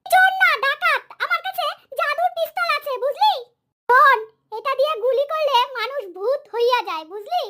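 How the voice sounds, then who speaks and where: A very high, piercing cartoon voice of a bossy little child. The delivery is loud and boastful, full of mischief, like a kid giving orders in a game. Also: normal speed. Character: bossy, mischievous small child playing cops and robbers. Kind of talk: exaggerated cartoon dialogue.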